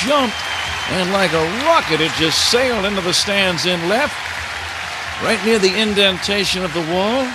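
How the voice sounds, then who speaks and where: Male baseball radio announcer over crowd noise. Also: high-pitched. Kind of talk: live radio play-by-play commentary. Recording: crowd roar and steady stadium noise. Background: ballpark crowd.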